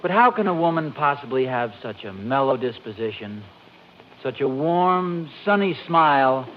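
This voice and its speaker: A man's voice: medium pitch, animated, normal speed, natural voice. An American man acting a genial, sheepish, teasing husband in a 1940s radio comedy. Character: genial, flustered sitcom husband, bluffing and teasing. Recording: dull old radio recording, slight noise.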